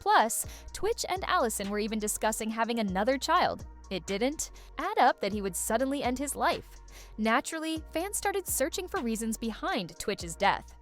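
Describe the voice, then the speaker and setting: A woman's voice: on the high side, very animated, normal speed, soft background music. A woman reading scripted gossip-video narration in a dramatic, suspense-building way.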